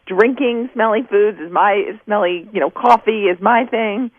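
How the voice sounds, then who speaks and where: Female radio guest, mid-pitched, emphatic casual chat with firm opinions, thin telephone-line sound. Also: unhurried, no room sound. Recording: narrow phone-like line, dry.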